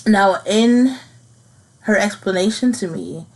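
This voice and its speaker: A woman in her thirties hosting her own podcast, speaking earnestly and haltingly about something personal, repeating phrases as she works through it. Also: medium pitch, a little mellow, poor audio. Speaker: podcast host. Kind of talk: personal reflective monologue.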